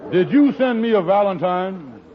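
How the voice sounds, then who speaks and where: Brief: bossy blustering schemer, high voice, lo-fi vintage radio. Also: male voice, expressive, moderate pace, a faint crowd. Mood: indignant. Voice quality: blustering, emphatic. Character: blustering scheming middle-aged male lodge boss. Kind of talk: 1940s radio comedy acting. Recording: dull lo-fi vintage radio recording.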